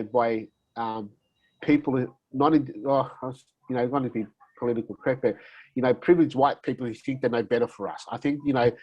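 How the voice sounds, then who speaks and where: A man's voice: mid-range voice, a little mellow, highs cut off. A man from Australia, a guest in an interview, talking loosely with many fillers and restarts. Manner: rambling, many "you know" fillers and restarts. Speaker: interview guest, community advocate. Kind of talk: casual conversational interview.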